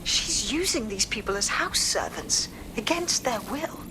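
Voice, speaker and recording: An actress playing a resolute resistance operative in a video game, firm and businesslike. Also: female voice, a little high, animated, unhurried, background noise. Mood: determined.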